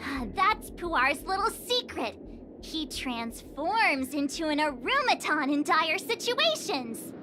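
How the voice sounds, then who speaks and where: A woman's voice: high-pitched, big pitch swings, takes it slowly, noisy background, full character voice. A young woman voicing a bubbly girl who narrates a made-up tale in a game cutscene. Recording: game audio over music.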